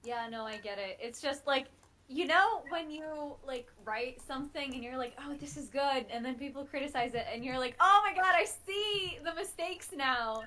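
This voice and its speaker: A woman in casual chat, self-deprecating and excitable as she takes feedback on her own writing. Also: high voice, moderate pace. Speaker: writer receiving feedback on own audio drama.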